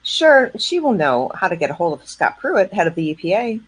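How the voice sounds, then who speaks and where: A woman's voice: lowish voice, sing-song, fairly quick, breathy edge, voice pushed down, poor audio. A woman playing a skeptical, questioning older sister in a comedy radio sketch. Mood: won over. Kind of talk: live comedy sketch read as radio play.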